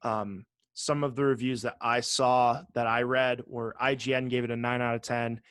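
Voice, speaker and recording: A man co-hosting a gaming podcast in casual chat, joking and sarcastic, swearing freely and often halting to rephrase. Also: mid-range voice, level tone, a bit bright, clean tone, no echo, clean studio sound. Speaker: podcast co-host.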